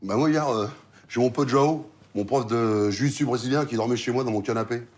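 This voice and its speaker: An actor playing a chatty buddy, telling stories in a casual comic way among friends. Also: male voice, mid-range voice, a little fast, a little mellow, lightly acted. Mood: incredulous. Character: chatty male buddy telling an awkward anecdote.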